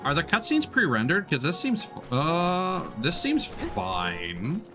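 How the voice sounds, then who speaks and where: A man's voice: fairly high pitch, huge pitch swings, slow pace. A man giving casual let's play commentary as a game streamer, reading on-screen text aloud. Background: faint game music.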